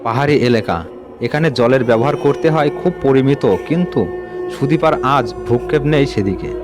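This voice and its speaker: Lower-pitched male horror storyteller over music. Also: normal speed. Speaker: host and story narrator. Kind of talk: dramatic horror story narration. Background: music under the voice.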